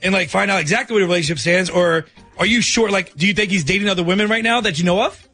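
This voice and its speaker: A male radio host with a high, bright voice over a music bed. He rattles through casual banter and questions at a quick pace.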